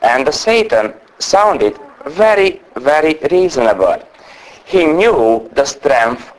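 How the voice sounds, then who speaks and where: Fast-talking man preaching with a strong non-native accent. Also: fairly high pitch, poor audio. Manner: naturally fast talker trying to speak slowly. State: unwell with a cold or flu. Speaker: guest preacher. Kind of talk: sermon.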